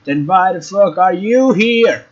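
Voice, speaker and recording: A man's voice: high-pitched, animated, unhurried. A young man doing a let's play, reading a visual novel aloud and adding casual commentary. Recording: dull lo-fi mic, faint noise.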